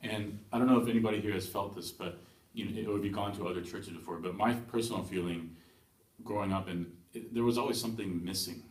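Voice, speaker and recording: A young man born in Taiwan giving a hesitant personal testimony at church, with many pauses and restarts. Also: on the low side. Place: church hall. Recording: echoey room from far off.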